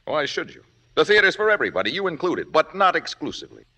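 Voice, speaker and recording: A confident, opinionated young American director in a vintage radio play. His delivery is emphatic and animated, with a bright, forceful edge and a wry streak. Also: fairly high pitch, fast, exaggerated voice. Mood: fiery, lecturing. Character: brash, opinionated young theater director, fond lover. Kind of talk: 1950s radio drama acting.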